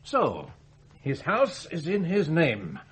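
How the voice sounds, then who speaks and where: An older patriarch in a radio drama, domineering and sure he is right. The voice is fairly high and very animated, with blunt delivery. A faint noise lies behind it. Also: slow, exaggerated voice. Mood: smug. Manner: blunt, self-satisfied. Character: domineering old magnate patriarch, smugly always right.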